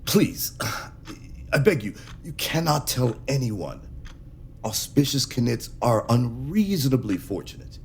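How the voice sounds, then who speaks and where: An actor playing an anxious, secretive alien dignitary, speaking hesitantly and earnestly in a science fiction audio drama. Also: male voice, mid-range voice, very animated, slow pace, a little hiss or hum. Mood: pleading. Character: anxious, secretive alien dignitary pleading for his endangered people.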